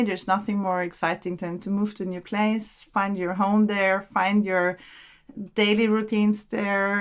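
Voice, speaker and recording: Austrian woman, 40s, interview guest, relaxed and reflective, mid pitch. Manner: relaxed, thoughtful, repeats words while searching. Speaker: podcast guest. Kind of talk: casual interview conversation.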